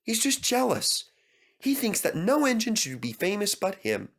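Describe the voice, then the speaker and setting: Man voicing a kindly, high storybook engine. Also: wildly swooping pitch, moderate pace, a bit bright, full character voice. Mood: soothing. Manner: kindly, soothing. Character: kindly, soothing male engine, gently amused.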